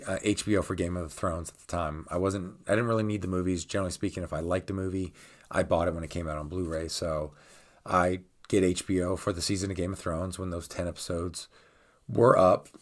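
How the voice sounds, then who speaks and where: A middle-aged man hosting his channel, still raspy from a cold, rambling through a casual rant with lots of ums and uhs. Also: deep voice. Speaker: channel host.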